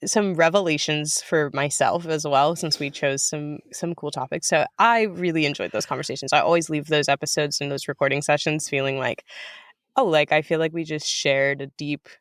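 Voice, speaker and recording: A woman's voice: sits low, moderate pace, no echo. Playful, wry woman guest, dry sound. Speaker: podcast guest, writer and podcaster. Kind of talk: casual chat.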